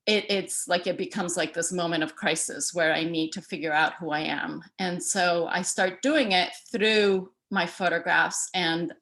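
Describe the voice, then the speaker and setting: A middle-aged woman from El Salvador talks through her slides on a video call, her voice bright and a little low. She speaks in a loose, conversational way, full of fillers and restarts. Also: fairly even intonation, measured pace, hardly any pauses. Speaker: artist giving a talk. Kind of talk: casual presentation over a video call.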